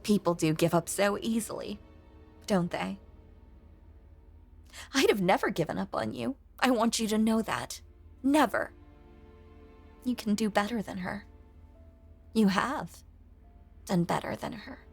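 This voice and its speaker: A woman playing a lonely, possessive female ghost in an intimate audio drama monologue, hushed and coaxing, with genteel old-fashioned phrasing and a breathy voice that often sinks toward a whisper. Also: mid-range voice, measured pace, pauses often, soft background music. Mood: quietly resentful, possessive.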